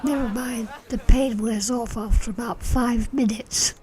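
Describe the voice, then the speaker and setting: A woman's voice: on the high side, fairly even intonation, a little slow, darkish tone, close to an everyday voice. A woman playing a prim, bossy middle-aged department store saleswoman in an amateur radio comedy, politely salesy with blunt asides. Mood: confiding. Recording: noisy amateur radio play recording with clicks.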